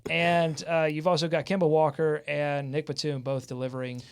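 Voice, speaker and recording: A man with a fairly high voice hosts a sports show. His talk is lively and informal, with a jokey streak. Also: normal speed, smooth. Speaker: podcast host.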